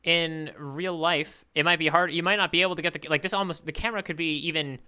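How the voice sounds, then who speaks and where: A man with a very bright, forceful voice, pitched a little high and cleanly resonant. He talks as a podcast co-host in loose, playful conversation. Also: steady tone, fast, clear, resonant tone. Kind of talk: casual chat about manga panels.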